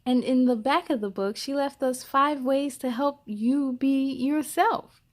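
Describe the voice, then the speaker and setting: High-pitched woman reading aloud to children. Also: measured pace. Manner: encouraging, speaks directly to young listeners. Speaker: children's story-time host. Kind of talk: expressive read-aloud for children with chatty asides.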